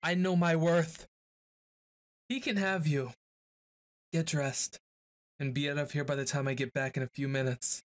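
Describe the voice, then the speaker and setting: Guy doing a dramatic boyfriend asmr roleplay, talks slow, close mic. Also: on the high side, fairly even intonation. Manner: speaks to an unseen listener, moody and dramatic.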